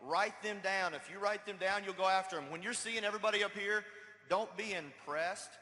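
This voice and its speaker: A man in his twenties giving an energetic, punchy motivational speech on stage. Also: sits high, brisk. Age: 20s. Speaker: speaker at a business event. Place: large hall on stage. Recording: reverberant hall via PA.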